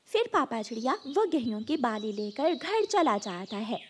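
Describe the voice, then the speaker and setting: A woman narrates a children's cartoon story from a script. Her voice is high and very animated in pitch. Faint noise sits behind her. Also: a little slow.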